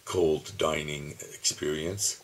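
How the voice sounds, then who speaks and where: A man in his seventies, reminiscing slowly about his childhood, pausing often with soft chuckles, who calls his own voice dull. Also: very deep voice, steady tone, a little hiss or hum. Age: 70s. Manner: slow, musing, with soft chuckles and pauses. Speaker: video diarist. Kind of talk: reflective personal reminiscing. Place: home, seated at his computer. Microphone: computer camera mic.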